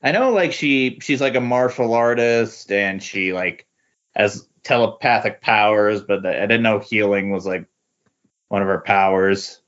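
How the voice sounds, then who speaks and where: Guy with a deeper voice, halting comics chat, says like a lot, muffled lofi mic. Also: fairly bright tone. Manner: halting, tentative phrasing with frequent "like". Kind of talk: casual chat about comics.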